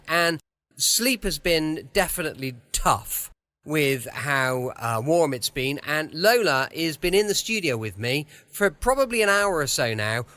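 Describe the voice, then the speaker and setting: A man's voice: on the high side, fairly bright tone. A British man hosting a podcast from a small studio, chatting casually and rambling along. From United Kingdom. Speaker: podcast host.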